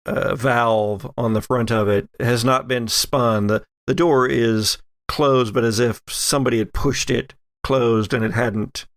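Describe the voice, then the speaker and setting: Brief: male game master for horror tabletop show, gravelly medium-pitched voice, very dry recording. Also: fairly even intonation, a little slow, few pauses, no echo.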